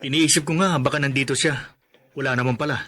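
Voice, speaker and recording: An actor playing a concerned, respectful nephew in a radio drama, earnest and polite. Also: male voice, medium pitch, fairly even intonation, quick pace, pushed and bright, slight background noise, natural voice. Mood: worried. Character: concerned, respectful nephew looking for his aunt. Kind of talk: Filipino radio drama acting.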